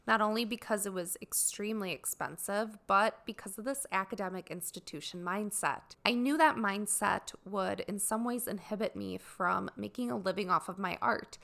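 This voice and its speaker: A woman hosting a solo podcast for artists, sounding raspy and dry as she gets over a cold, talking in an earnest, explanatory way. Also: medium pitch, sharp tone. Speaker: podcast host.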